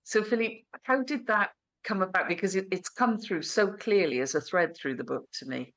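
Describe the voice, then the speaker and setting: A woman's voice: medium pitch, moderate pace, fairly bright tone. A woman hosting a podcast interview, asking her guests about their book with encouraging remarks. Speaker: podcast host.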